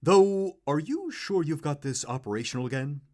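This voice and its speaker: Excitable male sidekick, wildly swooping voice. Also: fairly high pitch, normal speed. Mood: uneasy. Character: eager, excitable young male sidekick, nervous but loyal. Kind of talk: comedic audio drama acting.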